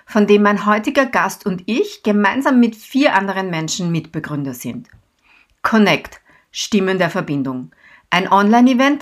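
A woman, a linguist, hosting a podcast interview, talking with enthusiasm and encouragement. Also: on the low side. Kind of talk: podcast interview, scripted intro.

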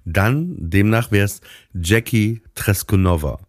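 A man co-hosting a podcast, chatting casually in a halting, rambling way and often interrupting himself. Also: lowish voice, dry recording, clean studio sound. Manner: halting, stumbling, self-interrupting. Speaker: podcast co-host.

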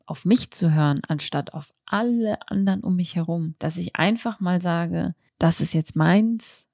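A woman's voice: on the low side, a little slow, vocal fry, a little mellow, no echo, studio quality. A thirty-year-old woman hosting a podcast, speaking in a reflective, candid, unscripted personal monologue. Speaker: podcast host. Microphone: handheld microphone, close.

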